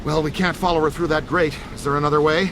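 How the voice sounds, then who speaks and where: A man playing an eager, earnest ghost hunter with boyish enthusiasm and an animated delivery in a video game. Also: on the high side, fast, background noise, lightly acted. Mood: eager, encouraging.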